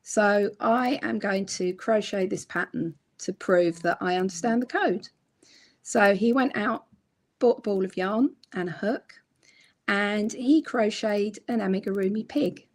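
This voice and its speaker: Middle-aged woman, hoarse from a cold, unhurried and reflective, heard over a video call. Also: medium pitch. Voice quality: croaky. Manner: slow, reflective storytelling with many ums. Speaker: guest crochet designer and author. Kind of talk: casual chat on a livestream.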